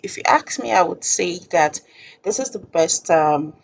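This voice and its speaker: A woman presenting an informal step-by-step software tutorial in a halting, deliberate way. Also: on the low side, expressive, creaky, slight background noise.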